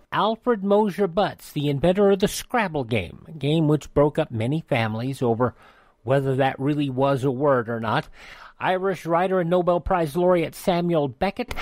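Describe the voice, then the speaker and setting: A man's voice: on the high side, darkish tone, dry recording. A man hosting a radio program, reading out dates from history in a conversational way with dry asides. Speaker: radio host.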